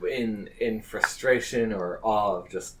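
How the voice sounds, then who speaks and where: A man's voice: fairly low pitch, slow. A man narrating a tabletop game as game master. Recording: slightly distant mic, faint noise.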